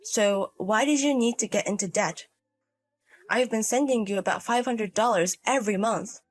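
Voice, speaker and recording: A woman's voice: on the high side, normal speed, faint music behind, lightly acted. A woman voicing a stern older mother and grandmother in a story drama, scolding and firm. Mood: stern, reproachful. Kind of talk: acted dialogue in a narrated drama story.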